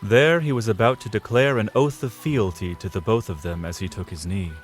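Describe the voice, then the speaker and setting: Low-voiced male story narrator. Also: a little fast, faint music behind. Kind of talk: scripted dramatic story narration.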